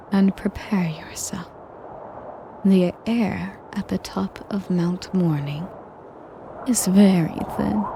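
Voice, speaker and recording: A woman acts out an imperious dragoness who treats the listener as her possession. She speaks very slowly and formally, in a lowish, breathy voice with cruel command. It is an intimate audio roleplay over clearly noisy ambience. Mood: haughty, possessive, then cold, threatening. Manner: measured, commanding, breathy delivery with formal wording. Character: sadistic, possessive, imperious female dragon kidnapper.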